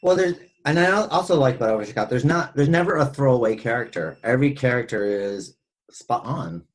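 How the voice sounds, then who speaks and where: A man with a husky voice talking casually and ramblingly as a podcast host discussing film. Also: mid-range voice, lively intonation, fairly quick.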